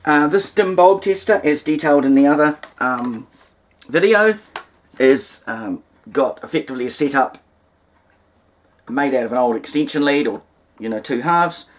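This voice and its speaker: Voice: medium pitch, normal speed. A speaker with a New Zealand accent explains a hands-on demonstration, hesitantly thinking aloud and carefully spelling things out. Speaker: presenter of a vintage radio repair demonstration. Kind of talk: unscripted hands-on demonstration talk. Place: indoors, at a table in a room.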